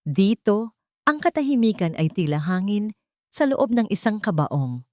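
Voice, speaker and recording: A woman's voice: mid-range voice, big pitch swings, a little slow, warm tone, dry recording, clean studio sound. A woman with a Filipino accent reading a scripted audiobook story in a dramatic narrating style.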